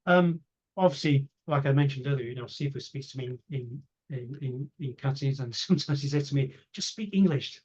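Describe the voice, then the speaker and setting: Dull-sounding male interviewer, mid-pitched. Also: brisk, a little mellow, husky. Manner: hesitant, repetitive, rambling phrasing. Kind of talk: casual chat. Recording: dull lo-fi audio.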